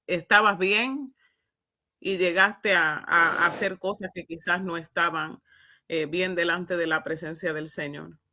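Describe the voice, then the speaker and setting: A bright, forceful woman host of a church program, feeling her way through questions with frequent amens. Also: on the low side, a little hiss or hum. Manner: halting, punctuated with amens. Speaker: host interviewing a guest. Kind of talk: religious testimony interview.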